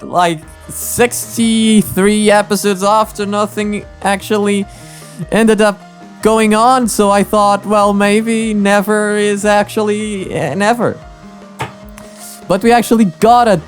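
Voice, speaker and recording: A man with a somewhat high voice gives casual reaction commentary. He rambles as host, circling back over his own phrases, with music clearly audible.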